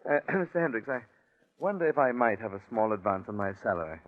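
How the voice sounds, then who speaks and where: A man's voice: fairly low pitch, very animated, fast, no echo. A man playing a scheming gambler who narrates his own crime in a wry, confiding way, in 1940s radio suspense style. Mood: casual, polite. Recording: muffled 1940s radio broadcast with music.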